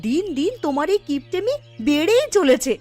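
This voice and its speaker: A woman acts out a busy young housewife in a cartoon village tale. Her voice is very high and animated, with a brisk, homely, nagging manner. Soft music sits behind it. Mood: exasperated. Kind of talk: animated cartoon story dialogue.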